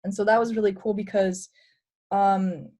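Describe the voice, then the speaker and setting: A woman's voice: medium pitch, moderate pace. Romanian-native woman chatting on a video call. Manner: animated, many "like"s. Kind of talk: webinar discussion.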